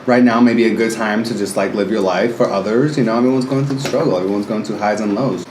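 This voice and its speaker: A man in his thirties talks loosely with a friend, a touch low-voiced, lively and full of "like" fillers. The room rings around him. Faint ambient hiss stays underneath. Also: quick pace. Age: 30s. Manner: chatty, animated, laughs often, many "like" fillers. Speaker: guest. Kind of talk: casual chat. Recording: roomy indoor echo with faint ambience.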